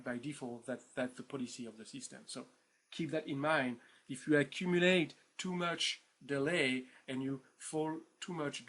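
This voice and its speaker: French accent guy running an online class, loose and jokey, lots of uh and right?, middle pitch. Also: fairly bright tone. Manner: chatty, informal, many fillers and repeated words; keeps asking "right?" for agreement. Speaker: online course instructor. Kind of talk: recorded course introduction to students.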